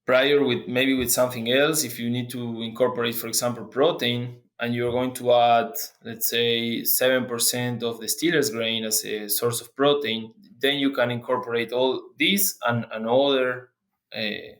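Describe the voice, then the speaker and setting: Halting man from Argentina, guest researcher. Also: medium pitch, flat delivery, a bit bright. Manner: halting, frequent restarts and fillers. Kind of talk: interview.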